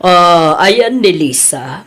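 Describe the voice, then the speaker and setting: Actress for firm, patient female boss, Filipino accent, audio drama. Unhurried pace, slightly low voice, measured manner. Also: animated, a bit bright, slightly rough, exaggerated voice. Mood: calm, probing. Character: woman boss, patient but firm, reproving staff. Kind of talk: acted audio comedy-drama dialogue.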